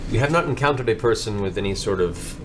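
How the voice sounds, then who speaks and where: A man's voice: lowish voice, expressive, fast. A man narrating a tabletop game as game master, improvising as he goes.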